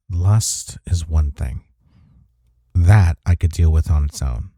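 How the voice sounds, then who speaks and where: A man playing a smitten office rival in an intimate romantic audio roleplay, with a husky, mellow voice and a halting, teasing manner. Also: a bass voice, no echo, studio quality, full character voice. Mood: sultry, tender. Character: flustered, smitten male office rival confessing attraction.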